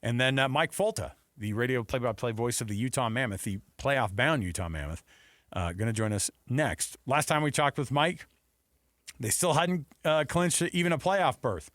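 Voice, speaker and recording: A man's voice: medium pitch, normal speed, a bit bright, dry recording, studio quality. An American man co-hosting sports talk radio in a casual, conversational way with rhetorical asides.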